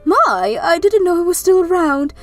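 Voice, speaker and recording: A woman playing a kindly, gracious elderly grandmother in a manga dub, speaking gently and expressively. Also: extremely high pitch, very animated, normal speed. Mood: delighted. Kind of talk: anime-style manga dub acting. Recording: background music under the voice.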